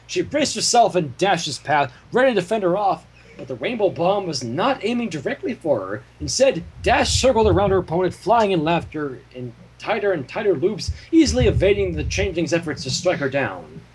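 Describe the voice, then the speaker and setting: A man reading a story aloud as narrator, in a dramatic, expressive storytelling manner. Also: high-pitched, normal speed, breathy edge, rough recording. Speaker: fan fiction reader and narrator. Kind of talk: animated scripted story narration.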